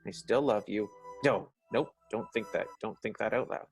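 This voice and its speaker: Guy acting as awkward earnest boss in a radio play, low voice, stammers a lot. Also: moderate pace, vocal fry, faint music behind. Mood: musing, anxious. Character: awkward, nervous, lovelorn male office boss.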